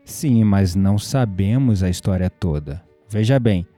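A man with a Brazilian accent acting in an audio drama as a patient believer who explains spiritual matters in a conversational, gently teasing way. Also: low voice, unhurried, no room sound. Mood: earnest, persuasive. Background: music behind the voice.

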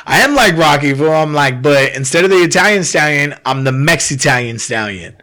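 A man's voice: a little high, animated, fairly quick, sharp tone. A young man telling a story in casual, profane podcast chat, full of slang and fillers. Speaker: guest telling his fight story.

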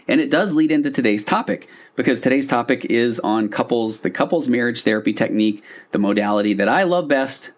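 Low-pitched man hosting a solo podcast. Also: a little mellow. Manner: conversational, earnest, rambling with self-corrections. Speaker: podcast host and couples therapist. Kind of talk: casual solo podcast monologue.

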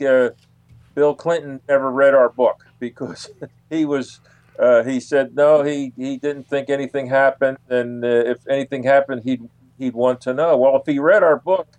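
A man explaining things as an interview guest, in a halting way that often repeats words. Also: medium pitch, a little mellow, no room sound. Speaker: guest, UFO researcher and author.

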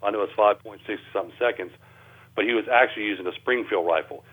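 A man's voice: fairly low pitch, fast talker, fairly bright tone, slightly rough. A man talking as an interview guest over a thin phone line, explaining things in a casual, plainspoken, folksy way. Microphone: telephone.

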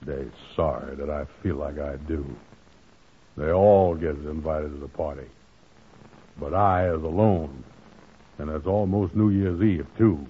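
A man's voice: very deep voice, measured pace, pauses often, breathy edge, full character voice. An actor playing a grumpy, gullible, self-pitying man with folksy ungrammatical, hesitant talk in 1940s radio comedy style. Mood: sulky, forlorn. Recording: tinny old radio broadcast.

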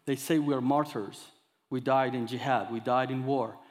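A man in his thirties from Iran, speaking English with an Iranian accent, giving an expressive sermon that mixes scripture with his own testimony. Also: medium pitch, moderate pace, long reverb tail. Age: 30s. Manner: expressive storyteller, acts out dialogue, audience laughter. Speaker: guest speaker.

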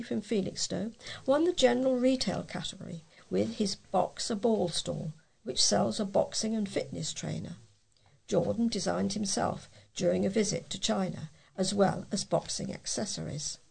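A British woman reading local news aloud as a volunteer reader, in a measured, plain way with a gravelly, husky voice. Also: medium pitch, darkish tone.

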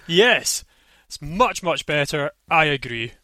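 A man with a bright, forward voice co-hosts a casual gaming podcast, chipping in with short, dry jokes. He talks into a headphone mic plugged into a laptop, and the sound is dry. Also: fairly high pitch, expressive, slow, dry recording. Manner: dry, joking short replies. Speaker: podcast co-host.

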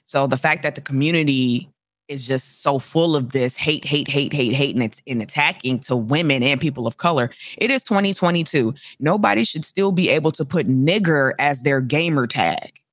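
A woman's voice: rumbling low, normal speed, dry recording, clean studio sound. A woman gamer speaking as a guest in a casual discussion, impassioned and emphatic, repeating words for force.

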